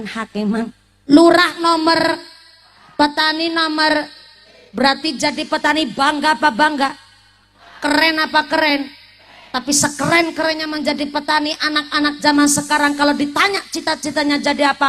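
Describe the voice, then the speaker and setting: Woman preacher, late 20s, high voice, playful and questioning, sermon to a live crowd. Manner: lively, teasing, asks the audience questions, mixes in Javanese and Sundanese words. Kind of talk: humorous Islamic sermon to a live congregation.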